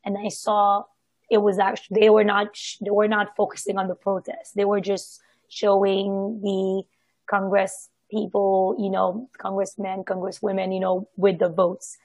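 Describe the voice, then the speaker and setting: Woman, from Haiti, husky voice, casual personal storytelling, halting with asides, close dry sound. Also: medium pitch, monotone, a little mellow, dry recording, rough recording. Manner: halting, circling back with repeated asides and self-corrections. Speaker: participant sharing personal experience in a group discussion. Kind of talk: casual reflective personal account.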